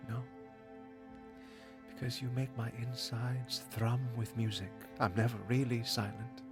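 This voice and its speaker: A man playing a charming, guarded musician and lover in an intimate audio drama, soft-spoken and flirtatious. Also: medium pitch, fairly even intonation, unhurried, loud music behind the voice, natural voice. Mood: tender, earnest.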